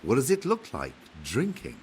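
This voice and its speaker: An actor playing a grumbling, opinionated older monk in a medieval video game, blunt and theatrical. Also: male voice, mid-range voice, wildly swooping pitch, normal speed, a little hiss or hum. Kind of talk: video game cutscene acting.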